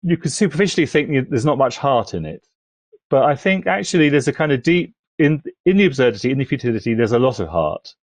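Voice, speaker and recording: A man's voice: medium pitch, very animated, quick pace, no room sound. A man speaking as a podcast guest in thoughtful critical discussion, often restarting his phrases as he goes.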